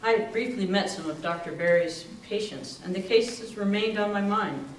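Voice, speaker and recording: A man's voice: high-pitched, moderate pace, lightly acted. A man playing a sober, methodical county medical examiner in readers theater. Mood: grave, factual. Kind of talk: readers theater narration in character. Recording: echoey room, far mic, faint noise.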